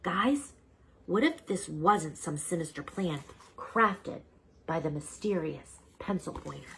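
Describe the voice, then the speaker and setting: A woman's voice: low-pitched, expressive, takes it slowly, creaky, a bit bright, a little hiss or hum, exaggerated voice, rough recording. A woman voicing an earnest little pet superhero. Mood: pondering. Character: brave little male classroom-pet superhero.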